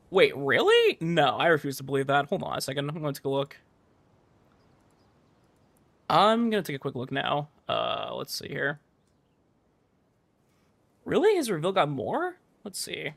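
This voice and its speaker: Higher-pitched man chatting casually on a gaming stream. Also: fairly bright tone, no room sound. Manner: conversational, halting, repeats words while reading and answering chat. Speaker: livestream host, gamer. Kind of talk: casual livestream chat while gaming. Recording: music playing behind voice. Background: game music.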